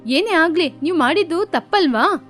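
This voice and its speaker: A woman's voice: very high-pitched, expressive, moderate pace, a bit bright. A woman voicing a stern but caring female bird mother who scolds her daughters. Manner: stern, firm. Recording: faint music behind.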